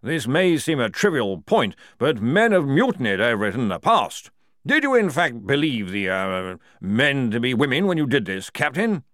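A man voicing a fair-minded army colonel in an audiobook, speaking formally with hesitant pauses. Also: medium pitch, sing-song, fairly bright tone, no room sound, clean studio sound, voice pushed up. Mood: measured, questioning. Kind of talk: audiobook character dialogue.

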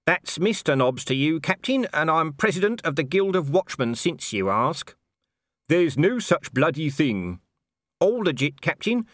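A man's voice: on the high side, very animated, moderate pace, hardly any pauses, fairly bright tone, dry recording, studio quality, pitched above the performer's own voice. A man voicing a scruffy, cheeky corporal who speaks with cocky, offhand smugness. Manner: cocky, offhand, self-satisfied. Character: scruffy, cheeky male corporal, smug union agitator. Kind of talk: audiobook character voice.

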